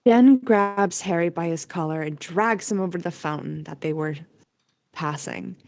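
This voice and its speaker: A woman's voice: on the low side, moderate pace, darkish tone, dry recording. A woman reading scripted storytelling narration for an audio play.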